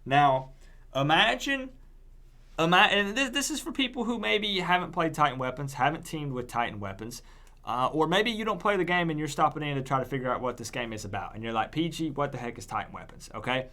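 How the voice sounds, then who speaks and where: A man hosts a casual gaming stream in a slightly high voice with very lively swings in pitch. He talks emphatically and demonstratively, as if showing every point to his viewers. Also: a little fast. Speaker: livestream host. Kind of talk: casual chat on a gaming livestream.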